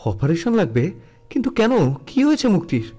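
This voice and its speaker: Audio story lead: male actor as fearful, pleading young lover, high-pitched, mellow but husky. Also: huge pitch swings, normal speed, soft background music, heavily acted. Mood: alarmed. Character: anguished young man in love, fearful and pleading. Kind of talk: Bengali audio story acting.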